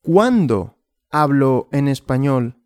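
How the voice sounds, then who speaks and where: A man teaches a language lesson at a very slow, careful pace, asking simple questions and answering them himself. His pitch rises and falls widely, and the sound is dry and close. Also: lively intonation, darkish tone, clean tone, dry recording. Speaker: Spanish teacher.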